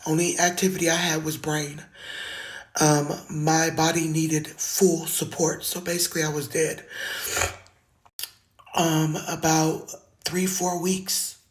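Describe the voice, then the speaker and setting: Low raspy woman with a lisp. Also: little pitch movement, takes it slowly, long pauses, low-quality recording. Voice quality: slight lisp. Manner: earnest, heartfelt, plain-spoken. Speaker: singer sharing her story. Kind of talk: personal testimony to camera. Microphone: phone video.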